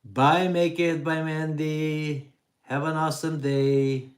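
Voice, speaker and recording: A middle-aged man from Hungary with a Hungarian accent, hosting a relaxed live Q&A for his vanlife channel and answering chat questions with many fillers. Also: on the high side. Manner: slow, relaxed, pauses with fillers. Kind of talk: live stream Q&A reading chat.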